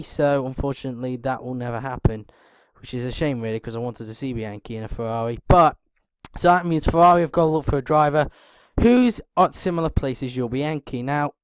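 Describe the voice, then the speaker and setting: Croaky young man with a sore throat. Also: medium pitch, no room sound. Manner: chatty, keeps clearing his throat, many ums. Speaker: YouTube racing channel host. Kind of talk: casual commentary to viewers.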